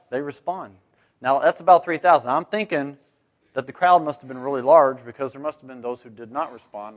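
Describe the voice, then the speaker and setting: A man teaching scripture informally to a group as a Bible study leader, conversational and often putting questions to his listeners. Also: on the high side. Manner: conversational, rhetorical questions, asks "right?". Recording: dull, muffled lo-fi recording.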